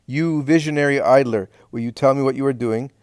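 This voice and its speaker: A man's voice: mid-range voice, fairly quick, smooth, no room sound, studio quality, voice pushed up, close to an everyday voice. A man voicing a stern, domineering head of a household, who gives orders and rebukes in a curt, commanding way. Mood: angry rebuke. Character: stern, domineering older male clan head.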